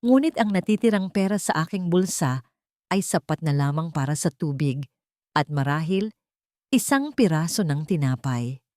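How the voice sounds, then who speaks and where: Low-voiced woman narrating a dramatic story. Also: animated, moderate pace, a little mellow, no echo, studio quality. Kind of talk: scripted first-person dramatic story narration.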